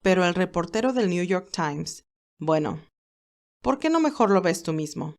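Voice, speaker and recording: A woman voicing a loyal, formal male business aide in an audio story, measured and advising. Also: on the low side, animated. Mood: concerned, probing.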